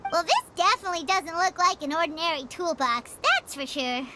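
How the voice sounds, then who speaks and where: Squeaky, very high little fairy girl. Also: big pitch swings, brisk, heavy background noise. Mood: curious, musing. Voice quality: squeaky, childlike. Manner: bubbly, speaks of self in third person. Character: tiny childlike floating fairy companion, chirpy, curious, blunt. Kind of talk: animated video game character acting.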